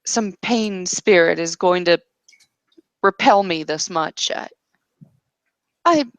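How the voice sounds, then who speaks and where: A woman playing a distressed, confused mortal woman in a roleplaying game, speaking haltingly with long pauses. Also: fairly low pitch, no echo. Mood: distressed, pleading.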